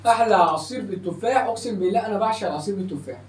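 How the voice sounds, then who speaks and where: Very fast-talking Egyptian man, roomy distant recording. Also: high voice, a crowd in the background. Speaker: vlog host. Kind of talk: casual unboxing chat to camera. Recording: distant roomy mic with faint noise.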